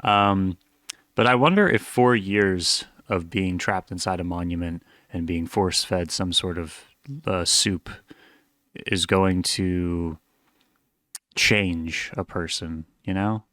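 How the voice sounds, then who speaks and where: A man's voice: low voice, takes it slowly, a bit bright, no echo, clean studio sound. A man giving casual, wry reaction commentary with joking asides, as the host of a reaction video.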